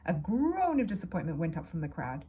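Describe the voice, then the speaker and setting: A woman reading a children's story aloud as an expressive narrator. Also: deep voice, a little fast, breathy edge.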